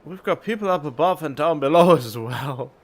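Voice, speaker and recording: A man's voice: a little high, expressive, normal speed. A man streaming a video game, playfully reading dialogue aloud and reacting.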